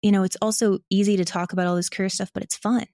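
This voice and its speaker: A woman's voice: mid-range voice, level tone, fast talker, mellow, no echo, studio quality. A woman talking casually and reflectively as an interview guest, candid and self-deprecating as she thinks aloud. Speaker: podcast guest, audio drama creator and actor.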